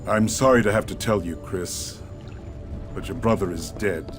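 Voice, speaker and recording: An actor playing a composed, scheming resistance adviser in a video game, speaking in formal, measured phrases. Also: male voice, lowish voice, music in the background, natural voice. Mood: grave sympathy. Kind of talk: video game cutscene acting.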